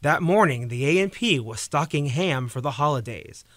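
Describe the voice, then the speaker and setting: A man playing a lively narrator and announcer on an old-time 1930s radio show. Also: fairly high pitch, moderate pace, no echo. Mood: dramatic storytelling.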